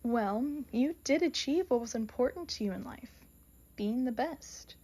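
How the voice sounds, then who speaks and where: A woman playing an all-knowing, solemn female reaper in an audio mystery drama, with measured, oracular delivery. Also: fairly high pitch, expressive, darkish tone, slightly rough. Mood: solemn, hushed.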